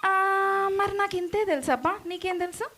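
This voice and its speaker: A woman's voice: very high-pitched, moderate pace, fairly bright tone, soft background music. A woman voicing a naive, boastful child puppet in a children's church show, speaking. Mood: naive, chatty. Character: Goldie, chatty naive excitable child puppet, girl.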